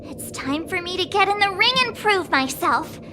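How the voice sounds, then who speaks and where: A woman's voice: very high voice, animated, normal speed, noisy background. An actress voicing an eager, nervous teenage girl sword apprentice in a video game. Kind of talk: video game character voice acting. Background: game music.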